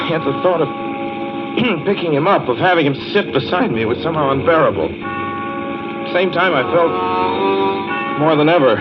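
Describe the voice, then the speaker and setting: An actor playing a haunted, increasingly desperate motorist, narrating a 1940s radio suspense drama in a brooding, dramatic way. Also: male voice, medium pitch, natural voice. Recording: muffled vintage 1940s radio recording with music.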